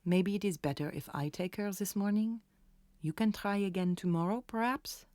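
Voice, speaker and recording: A woman's voice: medium pitch, normal speed, a little mellow, no echo, clean studio sound, lightly acted. A woman acting a kindly but firm French nanny in a children's audio drama, with a French accent. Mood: gentle, diplomatic.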